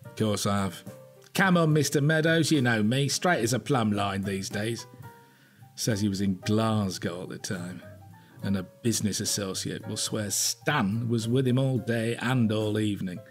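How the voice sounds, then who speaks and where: An actor plays an older British police inspector in an audio drama. His voice is fairly low, and he talks in a dry, weary, natural way. Faint music can be heard. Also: normal speed, lightly acted. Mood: exasperated.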